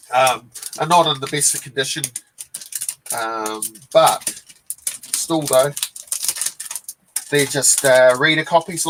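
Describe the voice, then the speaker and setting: A man's voice: mid-range voice, poor audio. Laid-back New Zealand man chatting. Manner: rambling, relaxed, many fillers and restarts. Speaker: comic collector hosting a live stream. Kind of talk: casual live-stream chat.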